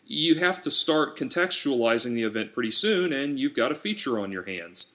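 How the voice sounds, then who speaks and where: A man's voice: medium pitch, very animated, moderate pace, fairly bright tone. A man voicing a jaded, matter-of-fact businessman in audiobook dialogue. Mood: blasé. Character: jaded male porn industry businessman talking shop.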